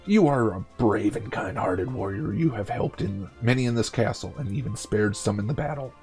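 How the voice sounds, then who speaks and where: Man reading as a solemn gravelly knight guardian. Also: on the low side, lively intonation, normal speed, a little mellow, faint music behind, pitched below the performer's own voice. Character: stern male knight guarding a magic portal, solemn.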